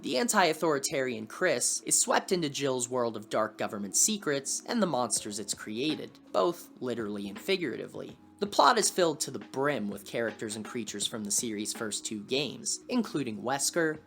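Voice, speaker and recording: A woman's voice: extremely low pitch, a bit bright. A woman hosting a video series, reading scripted video essay narration with wry asides. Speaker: host of a video series on lost media.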